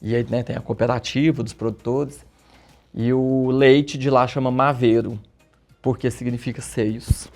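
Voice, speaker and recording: A man with a Minas Gerais accent talks as a guest in a relaxed interview. His mid-range voice has a gravelly, creaky texture. He tells stories at an easy pace and keeps checking in with the listener. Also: mid-range voice, a little slow, faint music behind. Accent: Minas Gerais Portuguese. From Minas Gerais, Brazil. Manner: warm, storytelling, frequent tag questions. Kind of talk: casual interview chat.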